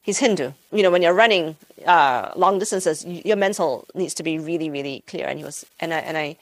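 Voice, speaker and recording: A woman's voice: sits low, fairly quick, dry recording, low-quality recording. A woman from Malaysia, a physics professor, answering interview questions thoughtfully, often restarting her sentences. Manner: thoughtful, many restarts and fillers.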